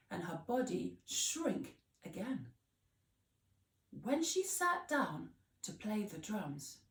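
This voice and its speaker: A woman reading a children's story aloud with expressive, theatrical emphasis on key words. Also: medium pitch. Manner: theatrical, deliberate word emphasis. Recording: roomy echo, distant microphone.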